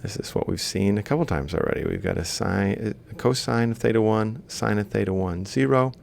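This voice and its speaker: A man's voice: fairly low pitch, a little fast, gravelly, darkish tone. A man giving an instructional lecture as he works on screen, explaining step by step and reciting values piece by piece. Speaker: university lecturer. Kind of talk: instructional screen-capture lecture, thinking aloud while writing.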